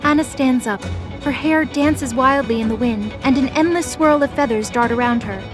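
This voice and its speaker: A woman's voice: sits high, normal speed, music in the background. A woman reading scripted, dramatic story narration as the narrator of an audio drama.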